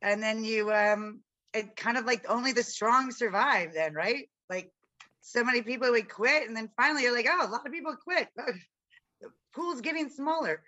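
A middle-aged woman with a deep raspy voice, chatting casually as a podcast guest and telling self-deprecating stories about her acting career. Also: normal speed, pushed and bright, dull audio. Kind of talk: casual chat.

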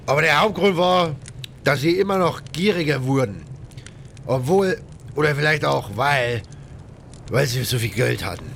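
A man voicing a rough, chatty pirate in a game audio drama, rambling in coarse colloquial speech with grudges. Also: fairly bright tone. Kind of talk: acted game dialogue, colloquial storytelling. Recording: faint rumbling background noise.

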